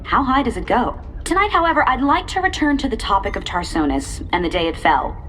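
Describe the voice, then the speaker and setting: A woman's voice: on the high side, big pitch swings, brisk, fairly bright tone, slight background noise. An actress playing a poised, earnest female TV news reporter with a professional broadcast delivery. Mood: earnest, pointed. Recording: music behind the voice.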